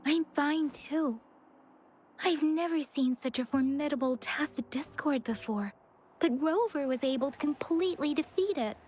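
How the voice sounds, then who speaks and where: A woman voicing a gentle, earnest young female guide in an anime-style game, courteous and measured in explaining. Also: high-pitched, a little hiss or hum, lightly acted. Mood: earnest, puzzled. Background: game music.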